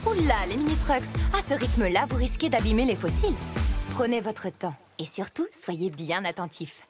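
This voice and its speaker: A woman's voice: a little high, expressive, normal speed, lightly acted. A woman voicing a friendly, careful scientist truck who instructs youngsters in a children's cartoon, over music. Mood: cautioning. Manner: instructive, clearly addressing children. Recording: music behind the voice.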